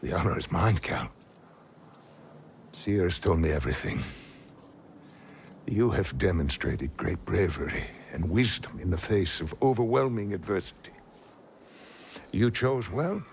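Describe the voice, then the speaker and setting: An actor playing a kindly, scholarly old Jedi master in a video game, speaking in a courteous, measured, formal way. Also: male voice, sits low, slight background noise. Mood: warm, welcoming. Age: older. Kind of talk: video game cutscene acting. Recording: music behind the voice.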